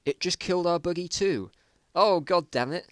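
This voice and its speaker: Guy doing a blunt excitable apocalypse survivor dude, pitched up, story reading. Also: moderate pace, no room sound, clean studio sound. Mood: exasperated. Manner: exclamatory, blunt.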